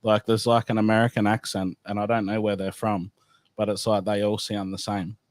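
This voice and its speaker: A man hosts a loose, casual chat and asks questions in an offhand way, swearing freely. His voice sits on the low side. The sound is dry, with no room around it. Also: flat delivery, a little fast, dry recording. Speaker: host.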